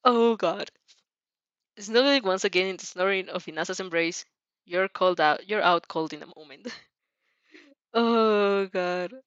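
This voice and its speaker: Girl reading fanfic out loud, giggly and expressive, chatty asides, kinda muffled dry mic. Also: medium pitch, unhurried, pauses often, clean tone, no room sound. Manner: giggly, expressive, breaks off to comment. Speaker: fan fiction narrator and reactor. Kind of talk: dramatic reading with casual reactions.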